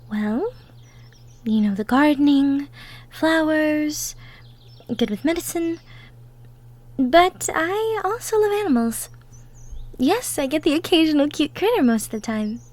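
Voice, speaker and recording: A woman playing a gentle, cheerful young cottagecore woman from the woods in an intimate roleplay audio, soft-spoken, giggly and sweet. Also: high voice, expressive, unhurried, pauses often. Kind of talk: roleplay audio drama, intimate one-sided conversation.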